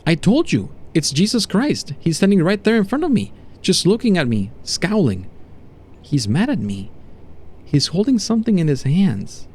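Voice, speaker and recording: Agitated male convict, played by a male narrator, horror audio drama; mellow timbre, raised pitch, halting and emphatic. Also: very animated, breathy edge, full character voice. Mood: awed, shaken. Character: condemned male prisoner unraveling from irritation into awed despair.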